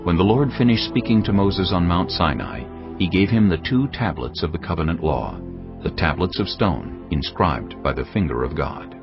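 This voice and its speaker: A man with a low voice and a lot of expression narrates scripture in a steady, formal way. The audio is dull and lo-fi, with a prominent music score underneath. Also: moderate pace.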